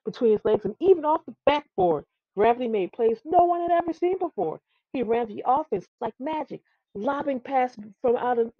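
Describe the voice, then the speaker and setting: Female storybook reader, fairly high voice, expressive if a little stumbling, on a dry, muffled recording. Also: dry recording. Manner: expressive, with frequent stumbles over words. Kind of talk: read-aloud children's tall tale narration.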